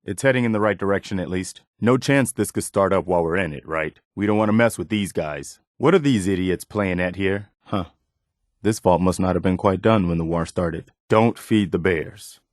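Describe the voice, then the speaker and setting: Actor as earnest low-voiced militia companion man. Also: normal speed, dry recording, clean studio sound, natural voice. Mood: somber, reflective. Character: earnest, weary militia officer, loyal companion. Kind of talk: video game companion dialogue.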